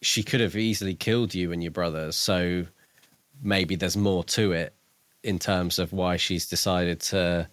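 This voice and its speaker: A man co-hosting a podcast, discussing a book in a casual, measured and reflective way. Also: low-pitched, fairly even intonation, no room sound. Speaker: podcast co-host.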